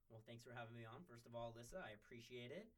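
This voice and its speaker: Relaxed young man guesting on a podcast. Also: medium pitch, rapid-fire. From California, United States. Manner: relaxed, with frequent "uh" and restarts. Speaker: guest sports reporter. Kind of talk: podcast interview chat.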